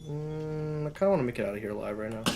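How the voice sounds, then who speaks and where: A man's voice: a little high, rapid-fire. A man playing a horror game, nervous and pleading when chased, chatting casually with a friend. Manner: jittery, pleading under pressure. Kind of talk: casual gaming chat. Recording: noisy mic with clicks.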